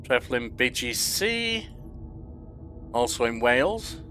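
A man's voice: mid-range voice, big pitch swings, slow, bright, forceful tone, faint music behind. An older man from England narrating a management video game, reading the questions aloud and answering them himself. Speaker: gaming YouTuber. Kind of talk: casual let's-play commentary. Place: home room at a desk.

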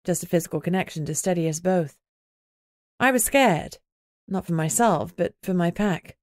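A woman's voice: fairly low pitch, normal speed, darkish tone, no echo, clean studio sound. A woman reading scripted first-person audiobook narration.

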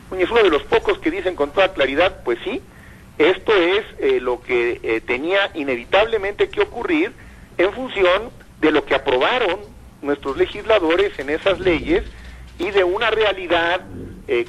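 An older man speaks in a radio interview, his voice husky and a bit high. His pitch rises and falls a lot as he reasons aloud. He often hesitates and repeats words. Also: a bit bright, poor audio. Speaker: radio guest, commentator.

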